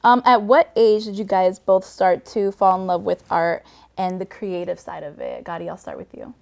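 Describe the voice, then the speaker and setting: A woman's voice: medium pitch, lively intonation, normal speed, vocal fry, darkish tone. A woman hosting a casual interview, upbeat and encouraging. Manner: upbeat, lots of laughs and encouraging backchannels. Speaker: host.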